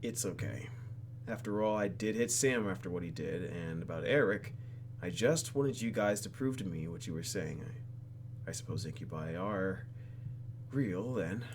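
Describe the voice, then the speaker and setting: A man's voice: low voice, background noise. A man hosting a let's play, reading a game script aloud with joking, slangy asides.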